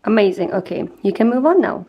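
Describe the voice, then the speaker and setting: A woman briskly asking a vlogger questions sent in by followers. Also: medium pitch, animated, highs cut off, slight background noise. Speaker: interviewer reading a follower question.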